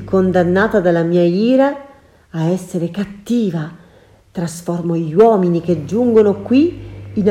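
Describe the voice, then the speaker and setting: A woman's voice: on the low side, dark tone, slight background noise. A woman voicing a scheming sorceress in a storybook reading, sweet and mocking by turns. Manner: sugary then mocking, cackling. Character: sorceress woman, scheming, cruel then pleading. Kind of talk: storybook character voice.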